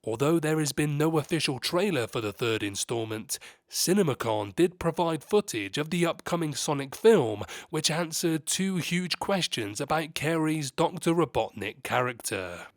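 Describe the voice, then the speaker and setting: A man hosts a scripted entertainment news piece in narration style. His voice sits a little higher than average, and the sound is dry with hardly any room on it. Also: expressive, normal speed, hardly any pauses, no room sound. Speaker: host of a movie news channel.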